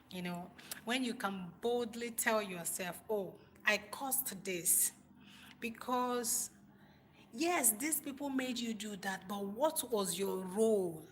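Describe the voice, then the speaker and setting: A woman with a Nigerian accent speaks in a bright, forceful voice. She gives informal motivational talk to live viewers, leaning on repetition and pointed rhetorical questions for emphasis. There is noticeable noise behind her voice. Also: mid-range voice. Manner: emphatic, repeats phrases for stress, rhetorical questions. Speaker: livestream host, motivational speaker.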